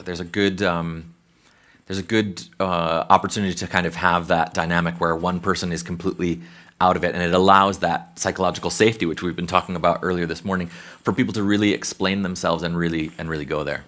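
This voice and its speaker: Deep-voiced american guy on a panel, talks it through slow with lots of restarts. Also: fairly even intonation, fairly bright tone. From United States. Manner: thoughtful, hesitant restarts, conversational. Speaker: behavioral science consultant. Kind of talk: conference panel talk.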